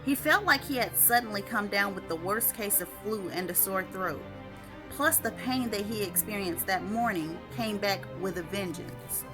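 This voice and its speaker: A woman reading her own short story aloud as an expressive storyteller. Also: mid-range voice, moderate pace, over music, poor audio.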